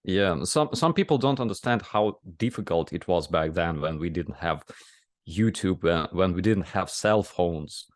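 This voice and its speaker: Ukrainian-accented man, deep voice, hesitant English, dry close sound. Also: expressive, no room sound. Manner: halting, searching for words in a second language. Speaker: soldier and press officer, guest. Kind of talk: casual interview chat.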